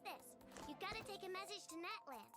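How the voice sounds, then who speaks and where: A woman voicing an excitable, bossy little girl in a game trailer, squeaky and childlike, played back faintly under music. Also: a little fast, full character voice.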